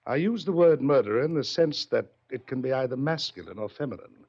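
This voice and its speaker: An actor playing a wealthy, smugly menacing yacht owner in a 1940s radio drama, taunting with theatrical pauses and drawn-out words. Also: male voice, medium pitch, lively intonation, a little mellow. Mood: coolly accusing. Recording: muffled old-time radio recording.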